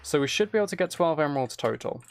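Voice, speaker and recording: Low-key man with creaky voice, gaming. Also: lively intonation, rapid-fire, a little hiss or hum. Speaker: streamer playing a game while chatting with viewers. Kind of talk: casual game commentary and chat.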